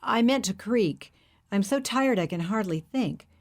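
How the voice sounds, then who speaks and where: A woman's voice: medium pitch, animated, unhurried. A woman voicing an exhausted, apologetic man who is lost and far from home. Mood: weary. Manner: weary, apologetic. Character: exhausted, lost middle-aged European man.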